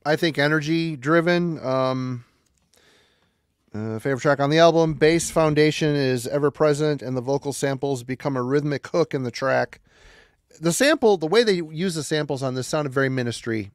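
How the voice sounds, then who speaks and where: A middle-aged man with a slightly high voice hosts casual music review chat. He speaks into a close microphone at home, and the sound is fairly dry. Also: moderate pace, no room sound. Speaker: host moderating album review panel. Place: home room. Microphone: close boom microphone and headset.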